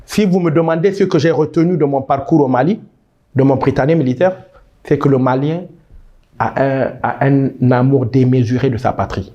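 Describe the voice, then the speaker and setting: A man's voice: mid-range voice, normal speed, mellow, muffled recording. A man in his thirties from Niger, answering interview questions with emphatic, passionate talk, often restarting words. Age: 30s. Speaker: guest.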